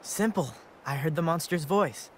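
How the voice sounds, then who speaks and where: A man's voice: a little high, normal speed, a little hiss or hum, natural voice. An actor playing a kind, confident young male monster rider in a video game. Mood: confident, reassuring. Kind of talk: video game cutscene acting.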